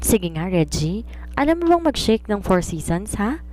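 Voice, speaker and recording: A woman's voice: mid-range voice, very animated, normal speed, darkish tone, natural voice. A woman with a Filipino accent reading a cheerful, easygoing older male judge in a storybook. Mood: cheerful, approving. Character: genial older male judge, master of the house.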